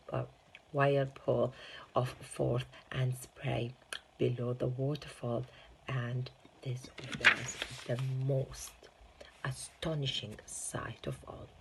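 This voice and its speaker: A woman narrating a children's storybook, halting and sometimes stumbling over long words. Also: very deep voice, vocal fry, a little hiss or hum, rough recording. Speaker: storybook reader, teaching assistant.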